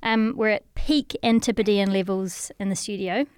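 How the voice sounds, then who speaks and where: A woman's voice: mid-range voice, fairly even intonation, moderate pace, a little mellow, smooth, no room sound, clean studio sound. New Zealand woman hosting a podcast. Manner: chatty, friendly and teasing. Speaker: podcast host. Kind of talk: podcast interview. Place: studio.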